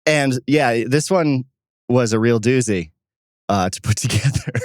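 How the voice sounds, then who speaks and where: A man's voice: medium pitch, measured pace, no room sound, clean studio sound. A man co-hosting a podcast, chatting casually in an informal, self-deprecating way. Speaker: podcast co-host and producer. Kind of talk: casual chat.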